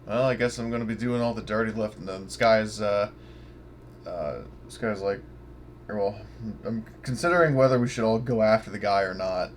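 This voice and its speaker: Casual low-voiced man playing a tabletop game. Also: moderate pace. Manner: informal, sweary. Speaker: player in a tabletop roleplaying game. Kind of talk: casual online game session talk. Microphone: online voice chat mic. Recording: faint noise on a home mic.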